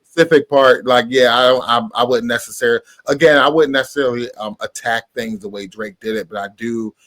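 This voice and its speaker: A man's voice: mid-range voice, brisk, fairly bright tone, dry recording. A man on a debate panel defending his opinion casually, adding careful qualifications as he goes. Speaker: panelist on a hip-hop debate show.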